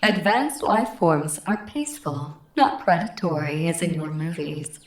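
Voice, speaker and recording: Haughty, lowish-voiced alien woman acted by a woman, roomy sound. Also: lively intonation. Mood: reassuring. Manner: haughty, formal, unhurried pronouncements. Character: calm, condescending female alien visitor in human form. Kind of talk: radio play acting. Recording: roomy, echoing voice recording.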